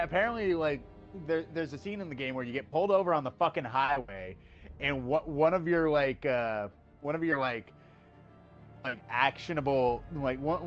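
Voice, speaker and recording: A man's voice: medium pitch, dull audio. Profane ranting man on a stream. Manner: ranting, profane, many fillers and restarts. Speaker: co-host. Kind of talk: casual gaming stream chat.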